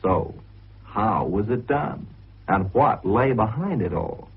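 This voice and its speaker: An actor playing a composed narrator who recounts a mystery in a radio drama, chatty, orderly and confiding. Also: male voice, lowish voice, animated, slow, husky, heavily acted. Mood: confiding, intrigued. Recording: muffled, phone-like old radio recording.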